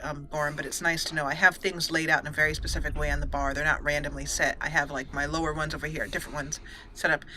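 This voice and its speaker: A woman's voice: sits low, sharp tone, a little hiss or hum. A woman giving casual, chatty let's play commentary as a gaming host, playfully exclaiming and talking to the characters on screen.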